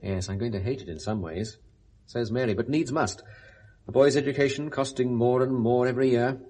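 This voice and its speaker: A middle-aged man with a British accent acting an urbane, persuasive businessman in a 1970s radio drama, speaking briskly and frankly. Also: mid-range voice, dark tone, natural voice. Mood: persuasive, pressing. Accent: British English.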